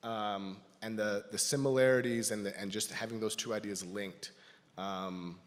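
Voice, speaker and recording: A man giving a personal, reflective talk to a congregation as a lay speaker, in a thoughtful, halting manner. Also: lowish voice, fairly even intonation. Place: large indoor church space. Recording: reverberant hall sound.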